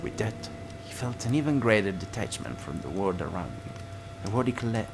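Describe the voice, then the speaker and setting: A man hosting a game playthrough, reading a visual novel aloud like a dramatic storyteller and sometimes dropping to a breathy hush. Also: on the low side, big pitch swings, moderate pace. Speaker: let's play host. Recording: music playing loudly behind the voice. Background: game music.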